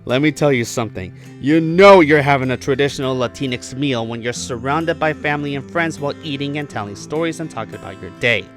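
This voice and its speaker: A man's voice: medium pitch, brisk, hardly any pauses. A man narrating a scripted but casual, profane film review in an emphatic, opinionated way. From Puerto Rico. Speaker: movie reviewer. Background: music behind the voice.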